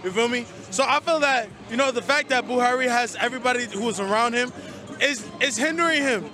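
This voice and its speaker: An earnest man from Nigeria with a fairly high voice, talking in an open, conversational way. A busy crowd is heard behind him on a city street. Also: a little fast. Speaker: nonprofit worker. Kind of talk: street interview.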